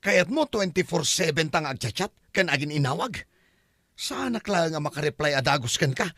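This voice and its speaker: A man's voice: fairly high pitch, animated, normal speed, a bit bright, no room sound, clean studio sound. A man acting an exasperated boyfriend worn down by a clingy partner in an Ilocano comedy drama, with an Ilocano Filipino accent and a complaining, whining delivery. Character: exasperated, hard-pressed boyfriend of a clingy woman.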